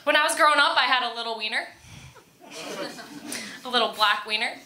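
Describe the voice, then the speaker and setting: A woman's voice: fairly high pitch, brisk, sharp tone. An American woman doing a self-deprecating stand-up set in a casual storytelling way, often giggling as she goes. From Florida, United States. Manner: giggles often through own delivery. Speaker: stand-up comedian. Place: indoor comedy club stage. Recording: echoey room, live comedy club.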